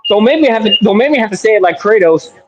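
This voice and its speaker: A man's voice: sits high, animated, quick pace, background noise. A man in his twenties in casual live-stream chat, joking around and fond of silly voices. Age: 20s.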